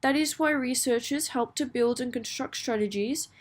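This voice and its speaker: A woman with a fairly high voice reads prepared text aloud. She delivers it as a model answer for exam practice, following the script sentence by sentence. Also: normal speed.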